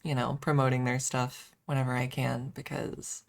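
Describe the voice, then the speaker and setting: A woman streamer chatting casually in a soft, hesitant ASMR style with playful self-deprecation, close to the microphone. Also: extremely low pitch, little pitch movement, normal speed, creaky, no room sound. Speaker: ASMR livestreamer. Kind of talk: ASMR, casual rambling chat.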